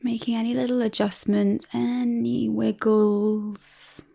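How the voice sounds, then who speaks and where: Woman, meditation guide, soft near-whisper, calm and soothing, level gentle intonation, gravelly fry at the edges. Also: a little high. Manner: calm, soothing, unhurried with long pauses. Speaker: yoga and relaxation guide.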